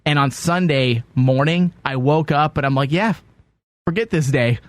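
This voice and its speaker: A man's voice: on the high side, normal speed, dry recording. A young man hosting a casual comedy chat show, sick and nasal, rambling and joking. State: sick, stuffy nose. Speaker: podcast host.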